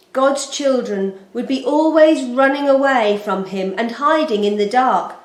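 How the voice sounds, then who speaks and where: A woman storyteller giving an expressive, solemn and deliberate narration of a scripted children's Bible story. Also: on the high side. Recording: roomy, echoing sound.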